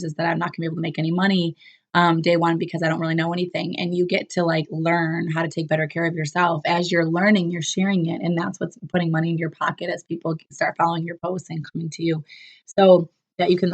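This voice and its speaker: A woman with a cold that makes her voice sound lower and rough, giving a chatty, upbeat recap as a business coach with plenty of fillers and restarts. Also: dull audio. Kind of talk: casual solo recap talk to camera.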